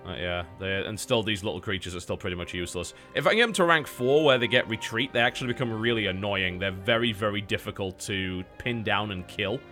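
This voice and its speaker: A man's voice: on the low side, lively intonation, a little fast, few pauses, bright, forceful tone. An English man giving live commentary on his game, explaining his tactics as he plays and swearing now and then. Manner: analytical, explains tactics while playing, occasional swearing. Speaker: streamer playing a game. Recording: game music playing behind.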